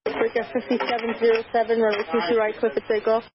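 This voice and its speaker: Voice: mid-range voice, completely flat delivery, fast talker, muffled recording. An air traffic tower controller giving clipped instructions in radio phraseology over an aviation radio.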